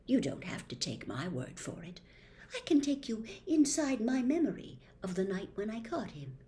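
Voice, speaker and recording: A woman voicing the persuasive memory of a young man trapped in a diary, speaking in a hushed, half-whispered way. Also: fairly high pitch, sing-song, normal speed, voice pushed up. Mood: secretive. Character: young male phantom in a diary, secretive and persuasive.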